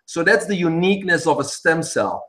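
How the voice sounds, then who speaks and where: A man's voice: fairly high pitch, animated, normal speed, a bit bright. Belgian-accented man explaining things steadily. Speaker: guest. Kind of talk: casual interview.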